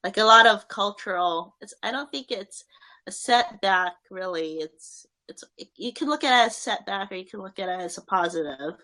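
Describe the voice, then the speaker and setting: A woman's voice: mid-range voice, normal speed, bright, forceful tone. A young woman answering interview questions in a casual, hesitant way, with many fillers and restarts.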